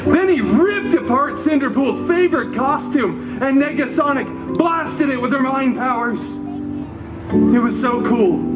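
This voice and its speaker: A man's voice: very high-pitched, lively intonation, unhurried, rough recording. A man playing a wisecracking, irreverent superhero who tells a story live, with sarcastic, theatrical delivery and self-mocking asides. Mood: mock dramatic. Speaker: storyteller at a theme park show. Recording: live show audio, music and crowd behind.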